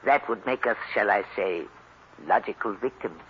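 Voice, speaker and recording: Actor as an enigmatic, formal professor, muffled vintage radio. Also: male voice, on the low side, close to an everyday voice. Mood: foreboding. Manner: formal, measured, deliberate phrasing. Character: enigmatic, courteous male foreign professor, quietly foreboding. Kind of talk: 1940s radio drama acting. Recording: noisy, dull old radio recording.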